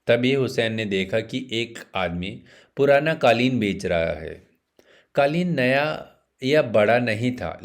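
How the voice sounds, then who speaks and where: A man narrating a scripted bedtime story in a measured way, pausing between phrases. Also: on the low side.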